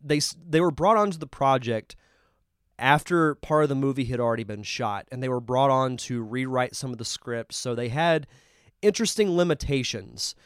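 A man's voice: mid-range voice, normal speed, smooth, no room sound, clean studio sound. A man with a congested voice hosting a live podcast, chatting casually and enthusiastically as he reviews a film. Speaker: podcast host.

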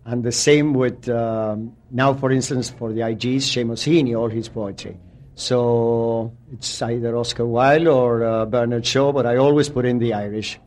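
A man's voice: medium pitch, steady tone, a little slow, a little mellow. A man from Argentina whose first language is Spanish, speaking English with an Argentine accent as he answers a radio interview in a reflective way, recalling names and places one by one. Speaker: literature teacher.

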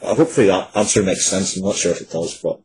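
A man in his forties giving earnest, halting answers as a writer being interviewed. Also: deep voice, darkish tone, husky, poor audio. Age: 40s.

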